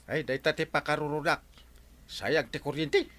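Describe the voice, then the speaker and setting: A man's voice: fairly high pitch, fairly even intonation, moderate pace, fairly bright tone, no room sound, close to an everyday voice. An actor playing a flustered, irritable male village captain in a comic radio drama, with an Ilocano accent. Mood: irritated. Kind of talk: broadcast comedy radio drama acting.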